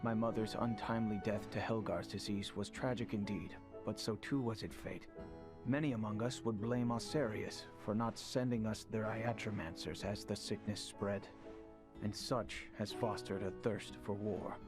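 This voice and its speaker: An actor playing an earnest, dutiful young prince with formal, archaic courtly speech in a video game cutscene. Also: male voice, on the low side, steady tone, moderate pace, music in the background, close to an everyday voice. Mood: bitter, somber.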